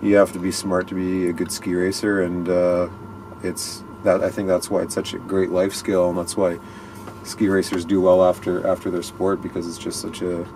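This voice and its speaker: Deep, flat-voiced man rambling earnestly. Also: normal speed, background noise. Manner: earnest, rambling, with many restarts. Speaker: guest, ski racer. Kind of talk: casual interview chat. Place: inside a small parked vehicle at night.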